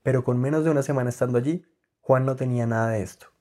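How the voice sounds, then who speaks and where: Brisk, steady narrating podcast host, male. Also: medium pitch. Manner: clear, steady, read-aloud delivery. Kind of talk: scripted narration.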